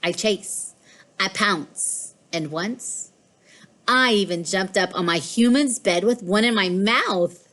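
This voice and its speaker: A woman's voice: medium pitch, animated, takes it slowly, a bit bright. A woman voicing a smug, mischievous pet cat in a storybook, with a boastful, emphatic delivery. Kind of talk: storybook read-aloud.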